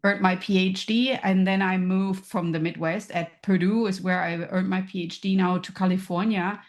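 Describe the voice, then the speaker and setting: A woman's voice: medium pitch, fairly even intonation, normal speed. A woman from Germany with a German accent, a podcast guest who explains her ideas thoughtfully, with examples. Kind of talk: casual interview chat.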